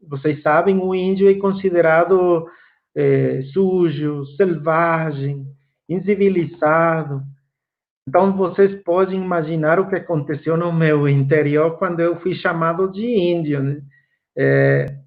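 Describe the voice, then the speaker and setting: A man from Guatemala with a Guatemalan Spanish accent, a native Spanish speaker giving an online course lecture, slow and reflective with frequent fillers. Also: sits high, gravelly, phone-quality sound.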